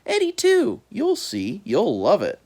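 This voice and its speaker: A woman's voice: on the low side, wildly swooping pitch, slow, darkish tone, pitched above the performer's own voice, heavily acted. A woman voicing a large, coaxing bird with breezy, persuasive salesman-like patter.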